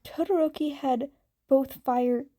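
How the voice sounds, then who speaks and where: A woman reading fanfiction aloud as a narrator in a soft, unhurried way. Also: sits high, fairly even intonation. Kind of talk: amateur audiobook narration.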